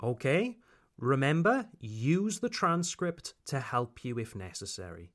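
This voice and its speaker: A Welsh man who hosts an English-learning podcast. He speaks as a teacher, slowly and with careful articulation. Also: medium pitch, very animated, fairly bright tone. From Wales.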